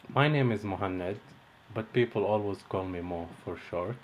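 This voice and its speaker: A young man from Egypt, a course instructor, reading out a lesson introduction in a measured, steady way. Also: low-pitched, slight background noise.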